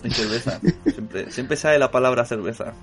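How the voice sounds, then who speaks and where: A man who leads a loose podcast roundtable, joking and teasing his co-hosts with frequent laughs. His voice sits in a middle pitch. Music plays clearly behind the talk. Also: normal speed. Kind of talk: casual roundtable chat.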